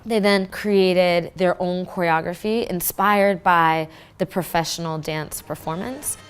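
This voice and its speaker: A woman teacher with a somewhat low voice explains her class project in a measured, explanatory way. Faint music plays under her words in a documentary mix. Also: steady tone. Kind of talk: documentary interview narration.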